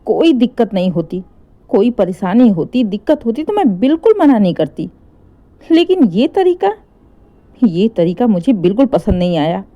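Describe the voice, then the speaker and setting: A woman's voice: medium pitch, big pitch swings, moderate pace, highs cut off, natural voice. A woman voicing a firm, protective older mother advising her married daughter in a family story. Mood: firm, concerned.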